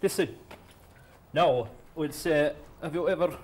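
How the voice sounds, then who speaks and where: A man's voice: on the high side, measured pace, a little mellow. A man playing a crude, patronizing small-town boss, rambling and folksy. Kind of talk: comedy sketch acting.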